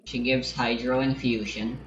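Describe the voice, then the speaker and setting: A man's voice: mid-range voice, level tone, measured pace, a bit bright, lo-fi sound, a little hiss or hum. A man giving casual, rambling game commentary in an offhand, musing way. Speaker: gamer recording gameplay. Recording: roomy echo, music underneath. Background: game music.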